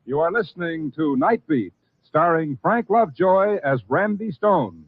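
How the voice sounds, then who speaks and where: A man's voice: fairly high pitch, lively intonation, unhurried, breathy edge, dry recording. A man announcing a radio drama in a 1940s broadcast style. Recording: tinny old radio broadcast with music.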